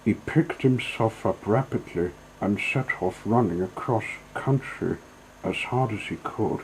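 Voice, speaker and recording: A man's voice: on the low side, slight background noise, low-quality recording. A man narrating a storybook as a storyteller, reading from a scripted text.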